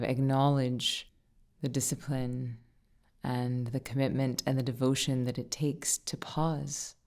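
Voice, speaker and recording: A woman guiding a meditation, speaking in short, unhurried phrases with gentle, soothing instruction and frequent pauses. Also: extremely low pitch. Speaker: meditation teacher and guide.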